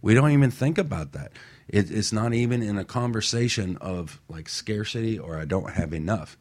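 A man's voice: fairly low pitch, animated, normal speed, a little mellow. A man talking casually and earnestly in a motivational radio discussion, often checking in with "right?". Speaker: radio talk show panelist.

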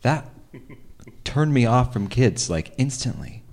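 A man playing an uneasy partner who is reluctant about commitment, halting and rambling in improvised comedy. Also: mid-range voice, big pitch swings, vocal fry, darkish tone, exaggerated voice. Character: reluctant partner nervously refusing to have kids. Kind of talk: improvised radio theater comedy.

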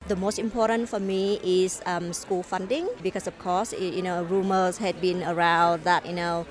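A woman's voice: fairly low pitch, steady tone, moderate pace. A woman speaking hesitantly as a parent advocate, with frequent fillers.

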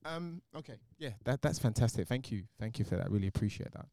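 A man's voice: medium pitch, expressive, fast talker, warm tone, dry recording. A man hosting a podcast, asking questions in a casual interview style. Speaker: podcast host.

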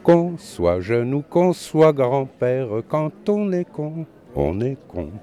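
A man with a dark voice speaks slowly and deliberately, his pitch rising and falling widely. He delivers his answer like a well-worn saying. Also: sing-song, clear, resonant tone. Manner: slow, deliberate, proverb-like. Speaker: passerby interviewed.

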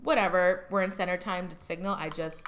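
A woman's voice: fairly low pitch, steady tone, fairly quick, fairly bright tone, a little hiss or hum. A woman co-hosting a teachers' podcast, chatting casually and laughing often, her voice a little strained this week. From New Jersey. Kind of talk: casual chat.

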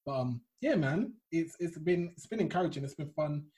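A woman's voice: low-pitched, animated, moderate pace, dark tone. A young woman co-hosting a podcast, chatting in a slangy, informal way and often wandering into asides. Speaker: podcast host. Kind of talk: casual chat.

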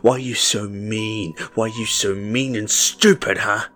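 A man voicing a desperate, volatile gambler father who pleads and then shouts at his young son. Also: fairly low pitch, fairly even intonation, moderate pace, faint music behind. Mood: raging.

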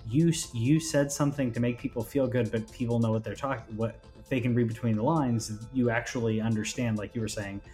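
A man's voice: mid-range voice, steady tone, brisk, few pauses, dark tone, soft background music. A man co-hosting a gaming news show in a loose, friendly, informal conversational way. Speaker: gaming news podcast co-host. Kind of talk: casual chat.

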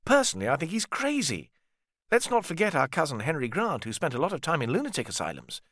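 A male narrator acts out an indignant younger brother of a rich family in an audiobook. His voice sits high and swings animatedly. He speaks emphatically, full of resentment, in a dry sound. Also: wildly swooping pitch, fast, a bit bright, no echo, exaggerated voice. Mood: exasperated. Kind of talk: audiobook character dialogue.

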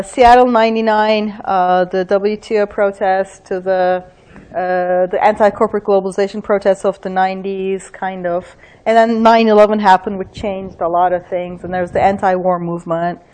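A middle-aged woman, giving a book talk in a lively, thinking-aloud way with laughing asides. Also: medium pitch, moderate pace. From Turkey. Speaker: invited book talk speaker. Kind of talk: academic talk with anecdotes.

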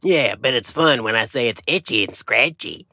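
Casting: man as touchy, folksy possum podcast co-host. Bright, muppet-like gravelly voice, wildly animated delivery, comic banter. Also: mid-range voice, normal speed, no room sound, studio quality. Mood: silly, cheerful. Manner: excitable, indignant, folksy. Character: touchy, silly male possum podcast sidekick, a wild animal.

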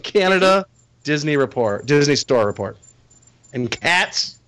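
Man, podcast co-host, slightly high voice, animated, dry close sound, loud and halting. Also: dry recording. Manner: loud, halting restarts, laughs often. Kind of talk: casual podcast banter.

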